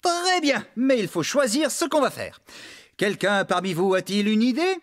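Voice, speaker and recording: A man voicing an excitable, good-natured, bossy farmhand in a French children's cartoon. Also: high-pitched, normal speed. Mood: excited. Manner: exclamatory, bossy, enthusiastic.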